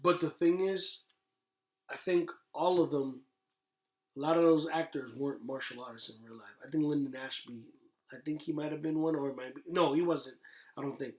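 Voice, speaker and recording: A man's voice: fairly high pitch, steady tone, normal speed, a little mellow. A man in a casual podcast chat, slangy and agreeable, restating his points as he goes. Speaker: podcast guest. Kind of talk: casual podcast chat about movies and games.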